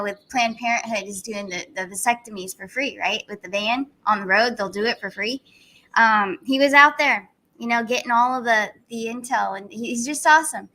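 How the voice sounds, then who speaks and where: A woman host talks casually and at length, rambling from point to point with many fillers. Her voice sits a little high and sounds bright and forceful. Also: rough recording. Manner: chatty, rambling, frequent fillers. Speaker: channel host. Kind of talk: casual commentary.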